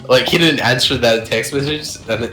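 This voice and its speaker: A young man rambles through old gaming stories with loose swearing and frequent laughs. His voice is bright and cutting. The room has a noticeable echo, with faint music underneath. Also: mid-range voice, normal speed. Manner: rambling, swearing, laughs often, shouts when reenacting. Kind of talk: casual gaming chat.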